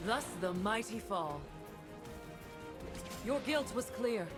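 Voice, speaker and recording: A woman's voice: a little high, animated, slow pace. A woman voicing a proud, righteous winged celestial judge for a video game, declaiming in a solemn, imperious way. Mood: stern judgement. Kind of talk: video game character voice lines. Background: music.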